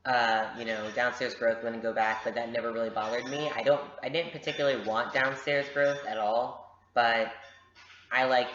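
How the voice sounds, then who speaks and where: A man's voice: medium pitch, a bit bright, roomy sound, muffled recording, a little hiss or hum. A young man answering viewers' questions in a casual vlog, frank and direct, with lots of fillers. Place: home room with a pet bird. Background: bird noises.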